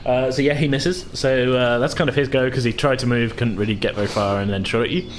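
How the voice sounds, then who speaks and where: A man's voice: medium pitch, steady tone, brisk, slight background noise. A man casually narrating a tabletop game as game master, informal and sweary. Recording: music playing behind the voice. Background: loud music.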